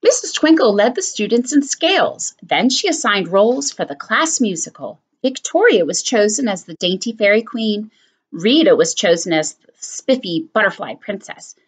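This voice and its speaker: Animated woman teacher reading a story. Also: medium pitch, normal speed, slightly rough. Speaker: elementary school teacher. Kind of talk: reading a picture book aloud.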